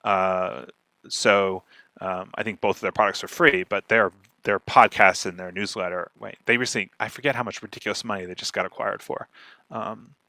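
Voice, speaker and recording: Bright, emphatic man in a dry recording. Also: lowish voice, steady tone, normal speed, no echo. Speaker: podcast growth strategist and guest speaker. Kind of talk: informal question-and-answer talk.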